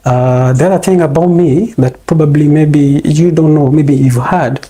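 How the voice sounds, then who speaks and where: A man's voice: a little high, fairly even intonation, normal speed, mellow, slight background noise. A man with a Kenyan accent, a guest on an interview, who talks in a rambling, hesitant way full of fillers. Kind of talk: casual interview.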